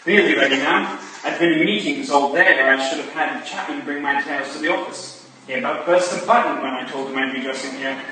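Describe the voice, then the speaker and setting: Echoey, distant genial Victorian gentleman played by an actor. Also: on the high side, a little fast, close to an everyday voice. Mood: chatty, busy. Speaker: actor in a staged reading. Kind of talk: staged play reading, Victorian drama. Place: echoey room at a live staged reading. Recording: very echoey, distant, noisy room recording.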